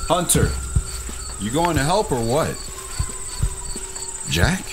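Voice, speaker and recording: An actor playing a bossy, hard-working, no-nonsense brother in an audio drama. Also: male voice, medium pitch, big pitch swings, takes it slowly, background noise. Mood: curt.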